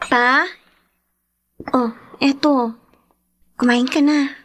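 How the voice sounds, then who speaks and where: Teenage girl, very high voice, meek, dutiful, hesitant, radio drama daughter. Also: steady tone, very slow, soft background music, heavily acted. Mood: meek, caring. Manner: soft-spoken, deferential, hesitant.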